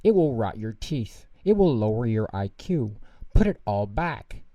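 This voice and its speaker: A man's voice: lowish voice, very animated, unhurried, warm tone, lo-fi sound. A man voicing an exasperated father scolding and pleading with his young daughter in a storybook reading, stern and flustered. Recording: roomy echo, distant mic.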